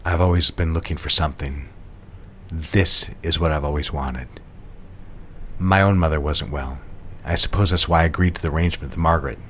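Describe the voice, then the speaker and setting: An actor playing a suave, secretive fiancé in an intimate audio drama, coaxing and full of endearments. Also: male voice, very deep voice, fairly quick, a bit bright, a little hiss or hum. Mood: guarded, tender. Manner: coaxing, endearment-laden speech. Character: suave, ardent male fiancé, sweet-talking and secretive.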